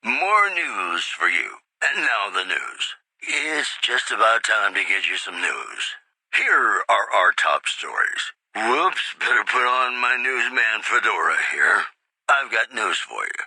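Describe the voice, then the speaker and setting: A silky radio crooner from a game's wasteland, bright and forward in tone and lively in pitch. He flatters his listeners with velvety charm, close to a dry studio mic. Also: slow, breathy edge, dry recording, heavily acted, low-quality recording. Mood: crooning. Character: smooth, flirtatious male radio DJ of the wasteland. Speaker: radio host and newsreader. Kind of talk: crooning radio announcer lines.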